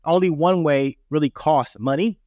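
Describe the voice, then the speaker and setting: A man hosting an instructional podcast, his voice raspy from a cold, talking in an earnest, explaining way. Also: on the high side, moderate pace, a little mellow, dry recording, studio quality. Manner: earnest, repeats "right?" and "that being said". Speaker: podcast host. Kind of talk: casual instructional podcast talk.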